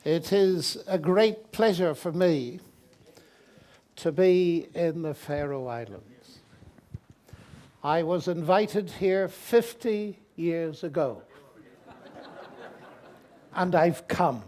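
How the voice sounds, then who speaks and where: Slow, high-voiced Northern Irish older man. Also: a little mellow. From Northern Ireland. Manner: genial, self-deprecating humor. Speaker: guest lecturer. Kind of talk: public lecture. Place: hotel lecture hall with a large audience.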